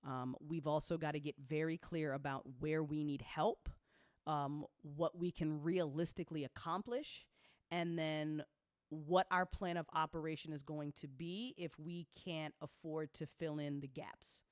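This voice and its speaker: A woman's voice: low-pitched, steady tone, unhurried, no echo, clean studio sound. A woman talking casually and off the cuff as an audio drama producer updating fans, candid, colloquial and full of swearing, emphatic when she makes a point.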